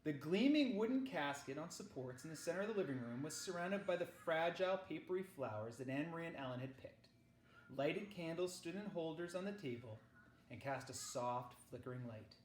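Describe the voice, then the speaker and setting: A man reading a children's novel aloud as narrator, with measured, dramatic phrasing. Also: a little high, lively intonation, a little hiss or hum. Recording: echoey, roomy sound.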